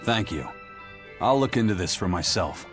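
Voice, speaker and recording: An actor playing a grim, stubborn pirate first mate in a video game, gruff and serious. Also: male voice, sits low, very animated, normal speed, soft background music. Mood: grave, controlled. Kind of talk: English video game voice acting.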